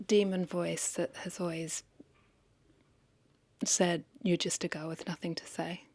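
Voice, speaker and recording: A woman's voice: on the low side, level tone, a little slow, a little mellow. A woman talking casually and confessionally to camera as a vlogger, hesitant and reflective, questioning herself and repeating phrases.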